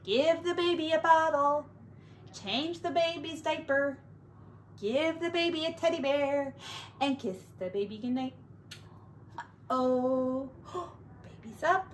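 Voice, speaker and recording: A woman teacher doing an expressive read-aloud and sing-song play for young children, drawing out her words. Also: high voice, slight background noise. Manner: expressive, drawn-out words, hushed asides.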